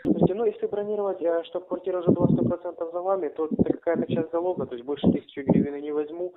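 A man's voice: high-pitched, steady tone, rapid-fire. A man chatting casually on a phone call about a rental, in a wordy, repetitive way full of filler phrases. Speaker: man offering a flat for rent by phone. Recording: muffled narrowband phone-call audio.

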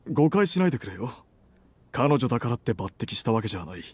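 A man in his thirties playing a suave, assertive company president and boyfriend in an intimate romance audio drama, soft and breathy, gentle yet commanding. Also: medium pitch, very animated, quick pace, no echo. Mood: businesslike, keen. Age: 30s.

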